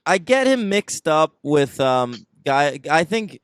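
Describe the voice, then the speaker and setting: Smooth-voiced joking podcast guy. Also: on the high side, big pitch swings, slow, no room sound, clean studio sound. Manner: joking, chatty, laughs often. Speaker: podcast co-host. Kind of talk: casual film review chat.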